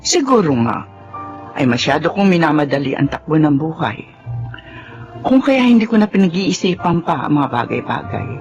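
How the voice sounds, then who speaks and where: A woman's voice: low voice, big pitch swings, moderate pace, highs cut off, soft background music. A woman playing an older mother of grown daughters in a Filipino drama, with an emotive delivery. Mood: remorseful. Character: money-hungry mother of grown daughters, turning remorseful.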